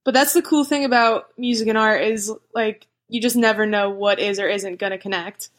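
A young woman guest on a podcast, chatting casually in a relaxed, halting way with many restarts. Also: medium pitch. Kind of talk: casual chat.

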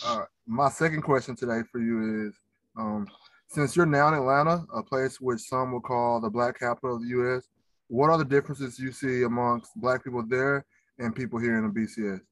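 Casual podcast host, dry sound. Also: male voice, mid-range voice, a little fast, dry recording, low-quality recording. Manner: relaxed, informal questions. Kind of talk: casual interview.

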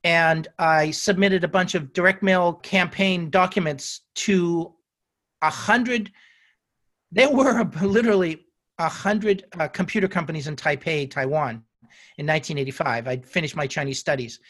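An older man answers interview questions at length, his voice sitting a little high for a man. He talks in a storytelling way, often restarting and correcting himself mid-phrase. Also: unhurried, fairly bright tone. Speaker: guest.

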